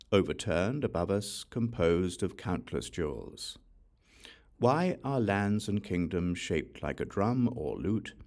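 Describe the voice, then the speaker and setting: A man reading Buddhist scripture as an audiobook, with measured, deliberate phrasing. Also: low voice, vocal fry, a little mellow. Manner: measured, deliberate phrasing in short questions and lists. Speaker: audiobook reader.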